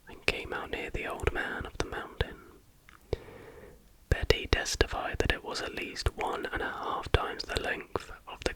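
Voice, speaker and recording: A man reading a factual account aloud as an ASMR host, entirely in a soft, unhurried, unvoiced whisper. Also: low-quality recording.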